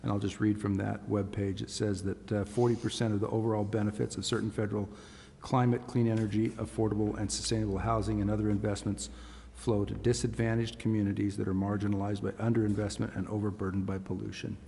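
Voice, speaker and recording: A man's voice: on the low side, little pitch movement, fast, creaky, few pauses, warm tone. A man giving a formal, polite briefing as a city official at a public meeting. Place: council chamber. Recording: reverberant hall, faint noise.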